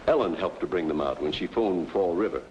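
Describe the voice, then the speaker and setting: Genial doctor on dull old recording. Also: male voice, deep voice, lively intonation, fairly quick, darkish tone. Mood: explanatory. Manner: clinical, chatty, slightly florid. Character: genial, self-assured small-town male doctor. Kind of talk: old-time radio drama acting. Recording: dull, faintly noisy old radio recording.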